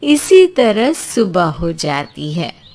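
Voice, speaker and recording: A woman storyteller reading scripted narration for an animated tale. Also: fairly low pitch, very slow, creaky.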